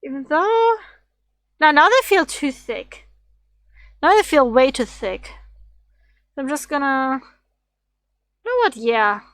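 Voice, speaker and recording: A woman streamer with a slightly raspy voice, rambling and thinking aloud as she draws on a casual livestream. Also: sits high, big pitch swings, slow, long pauses, fairly bright tone, no room sound. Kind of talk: casual drawing livestream chat.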